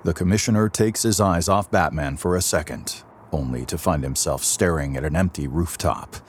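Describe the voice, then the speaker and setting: An actor as the narrator of an audio drama, a husky man delivering solemn, dramatic scripted narration. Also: low voice, moderate pace, slight background noise, close to an everyday voice. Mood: wry, dramatic. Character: solemn, dramatic male storyteller of superhero adventure.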